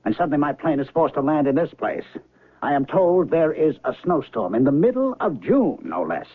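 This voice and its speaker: An actor playing a flustered, indignant airline passenger in a 1970s radio drama, exasperated, sputtering and insistent. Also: male voice, fairly high pitch, sing-song, fairly quick, breathy edge, dry recording. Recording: muffled, phone-like old radio broadcast.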